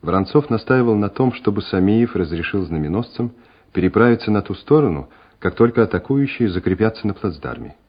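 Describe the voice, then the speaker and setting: Male narrator for radio drama: lowish voice, literary dramatic narration, dulled old recording with faint noise. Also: expressive, a little fast, husky, highs cut off.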